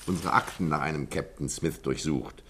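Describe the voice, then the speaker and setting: An actor playing a businesslike, measured police inspector in a 1950s German radio drama. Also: male voice, a bass voice, a bit bright. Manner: measured, matter-of-fact. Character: businesslike, matter-of-fact male police inspector. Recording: faintly noisy old radio recording.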